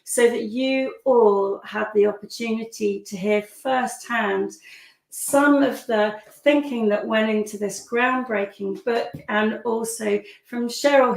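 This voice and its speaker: A woman hosts a webinar with a croaky, hoarse voice that turns gravelly. She talks in a chatty way, with lots of ums and uhs. The sound is roomy and echoing. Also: fairly high pitch, steady tone, a little slow. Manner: chatty, warm welcomes with frequent fillers. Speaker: webinar host. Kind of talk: friendly webinar hosting.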